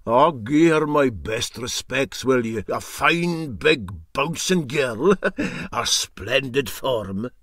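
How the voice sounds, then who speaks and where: A man voicing a sly, teasing old boat skipper with a Scottish Highland accent and a drawn-out, mock-sympathetic manner in a storybook reading. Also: animated, a bit bright, breathy edge, no echo, clean studio sound, exaggerated voice.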